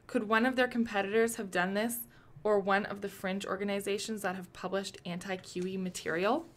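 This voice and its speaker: A woman playing a determined leader of an urgent investigation in a radio play, speaking in a brisk, probing, blunt way. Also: mid-range voice, steady tone, lightly acted.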